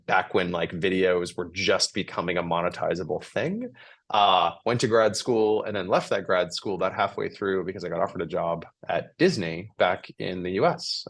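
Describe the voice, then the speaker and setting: A man in his forties with an American accent, a guest in a casual podcast interview, chatty and relaxed with many fillers. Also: low voice. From United States.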